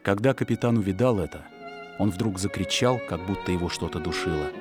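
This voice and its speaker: A man reading a story aloud as an audiobook narrator, in an expressive, theatrical manner. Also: low-pitched, fairly quick, music in the background. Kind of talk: dramatic scripted story narration.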